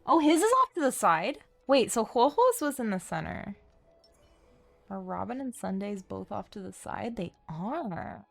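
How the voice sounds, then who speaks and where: A woman game streamer with a medium-pitched voice chats casually to her viewers. She rambles playfully and with animation while music plays behind her. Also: big pitch swings, unhurried, gravelly. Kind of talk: casual livestream chat. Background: background music.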